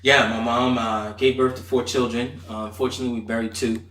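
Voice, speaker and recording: A man's voice: mid-range voice, little pitch movement, a little fast, a bit bright. A man from Nigeria, a native Igbo speaker, telling his personal story casually and emphatically as a talkative podcast guest. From Nigeria, moved to the US aged eight. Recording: roomy echo, distant from mic.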